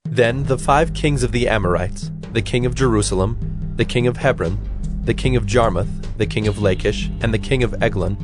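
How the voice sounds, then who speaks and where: A man narrating a dramatized audio Bible as a scripted storyteller, in a measured, solemn manner. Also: medium pitch, music in the background.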